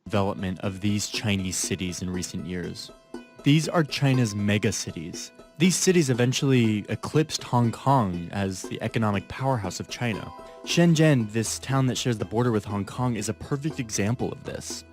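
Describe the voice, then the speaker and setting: Low-voiced male documentary narrator. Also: expressive, moderate pace, faint music behind. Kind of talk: scripted narration.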